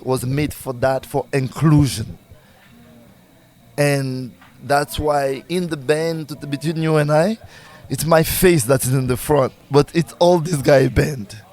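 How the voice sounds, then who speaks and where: Haitian-accented man, halting and conversational. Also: medium pitch, a little mellow. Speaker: musician, singer and bandleader being interviewed. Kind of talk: casual interview conversation.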